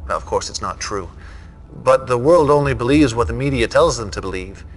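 An actor playing a smug, menacing villain leader in a game cutscene, speaking with smooth, deliberate mockery. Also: male voice, medium pitch, lively intonation, close to an everyday voice, poor audio. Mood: smug, taunting. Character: smug, menacing middle-aged male villain leader taunting his enemy. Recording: music under the voice.

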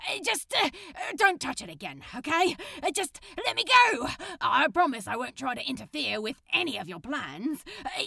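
Woman doing a flustered male superhero, storybook reading, stammering, desperate, sarcastic, bright and gravelly. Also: high voice, no room sound, voice pushed up, full character voice. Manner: stammering, hesitant, blurting. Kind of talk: acted fan fiction audiobook reading.

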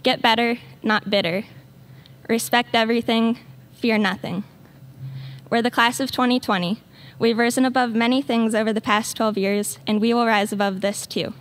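A woman's voice: a little high, fairly even intonation, normal speed. A teenage girl earnestly reading a graduation speech. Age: late teens. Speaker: student speaker.

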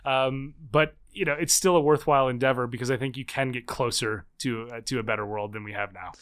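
A man in his thirties, a guest author and researcher, talking fast and animatedly with many restarts in a casual interview. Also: medium pitch, fairly bright tone, smooth. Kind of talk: casual long-form interview.

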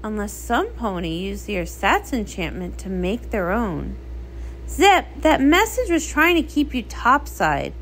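A woman acts a cool, practical female pony from a comic story. The delivery is dry and matter-of-fact, with a varied pitch that often drops lower. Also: big pitch swings, a little slow, a bit bright, a little hiss or hum. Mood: dry, serious.

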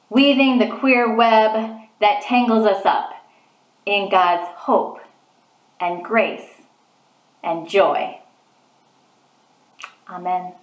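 Slow-paced woman preaching, roomy sound. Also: mid-range voice. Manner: earnest, deliberate phrasing. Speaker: preacher, clergy. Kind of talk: online sermon. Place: small indoor room at home. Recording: roomy, echoing home recording.

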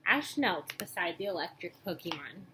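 A young woman reading a children's book aloud in a storytelling style, acting out dialogue and pausing to point out pictures. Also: lowish voice, animated, sharp tone. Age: young adult. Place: small room at home, indoors. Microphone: webcam or laptop mic at arm's length. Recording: noisy home webcam recording.

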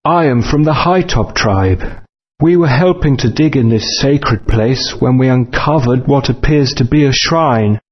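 A man voicing a calm, solemn dinosaur tribesman in a video game, measured and formal. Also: medium pitch, natural voice. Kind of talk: video game character acting. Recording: dull lo-fi game audio.

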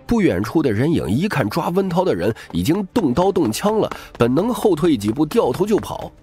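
A man's voice: fairly high pitch, sing-song, moderate pace, dark tone. A man giving dramatic scripted narration of a crime novel as an audiobook narrator. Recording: music bed behind the voice.

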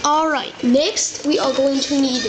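A woman's voice: sits high, steady tone, measured pace. A female voicing a bossy, scatterbrained young male wizard hosting a cooking show in a homemade toy play. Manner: bossy, scatterbrained, giving orders. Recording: noisy home recording.

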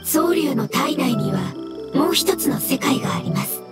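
A woman voicing a gentle floating mushroom spirit in a game, with formal, measured and courteous speech. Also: high-pitched, expressive, over loud music. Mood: solemn. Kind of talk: scripted game dialogue.

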